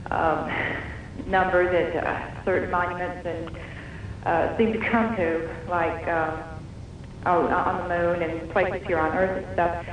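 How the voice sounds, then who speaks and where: High-pitched hesitant male radio caller. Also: fairly even intonation, slow pace. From northern Alabama, United States. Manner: hesitant, polite, filler-heavy. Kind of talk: casual, polite call-in question. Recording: echoey distant caller on radio, faint noise.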